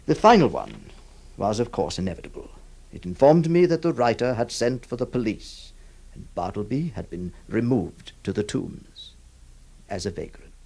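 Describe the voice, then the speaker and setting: An actor playing a troubled, kindly lawyer who narrates and stammers with many hesitations. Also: male voice, lowish voice, animated, moderate pace, vocal fry, a little mellow, breathy edge, exaggerated voice. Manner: hesitant, stammering, full of uh's. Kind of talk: old radio drama acting.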